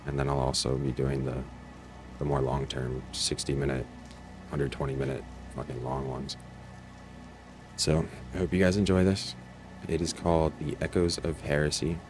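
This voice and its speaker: A man's voice: a bass voice, steady tone, moderate pace. A man giving a casual, offhand introduction as the creator of an online channel. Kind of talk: casual, informal video intro. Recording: faint music and rain sounds behind.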